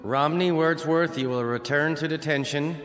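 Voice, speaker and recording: A man's voice: fairly high pitch, normal speed, a bit bright, natural voice. An actor playing a stern, officious male tribunal guard in a radio drama. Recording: reverberant hall, music underneath.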